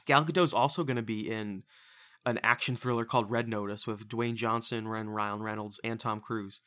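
A man's voice: on the low side, steady tone, moderate pace, pushed and bright. A man in his twenties hosting a casual podcast, loose and profane, rambling through movie news. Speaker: podcast host.

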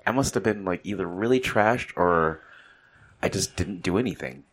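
A man's voice: low-pitched, expressive, normal speed, husky. A man co-hosting a casual chat show, rambling with lots of "like" and restarts. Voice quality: rambling with many "like" fillers. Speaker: podcast co-host. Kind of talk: casual banter.

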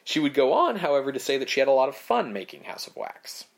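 A man's voice: mid-range voice, quick pace, creaky. Man reading out film history facts. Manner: reads prepared facts, relaxed. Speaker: podcast co-host. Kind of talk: casual film history chat.

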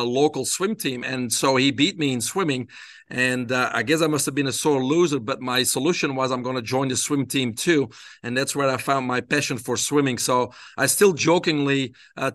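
A man from Belgium, a guest on a podcast, tells his life story in a relaxed way with frequent hesitations. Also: mid-range voice, dry recording, studio quality. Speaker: interview guest. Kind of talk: casual storytelling in an interview.

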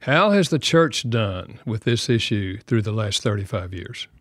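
A man's voice: lowish voice, animated, a little slow, fairly bright tone, no echo. Male interview host, dry close sound. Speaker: radio show host. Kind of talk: conversational interviewing.

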